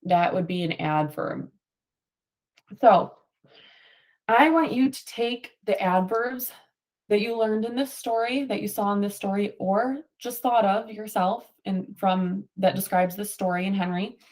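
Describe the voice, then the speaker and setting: Slow-paced woman teacher reading aloud. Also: mid-range voice, a little mellow, low-quality recording. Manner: instructional, prompting and affirming answers. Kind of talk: picture-book read-aloud with questions to a class.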